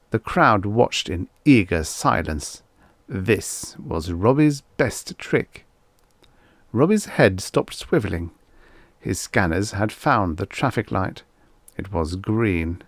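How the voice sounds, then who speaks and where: Guy reading an audiobook story, lowish voice, lively intonation, measured and expressive, dry sound. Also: gravelly, dry recording. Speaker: audiobook narrator. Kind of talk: scripted story narration.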